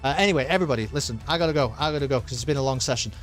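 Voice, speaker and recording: A man commentating a sim race and signing off his stream, excitable and rambling, with his voice wearing thin after a long session. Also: mid-range voice, racing, soft background music. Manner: excited, rambling, with many uh fillers. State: losing his voice.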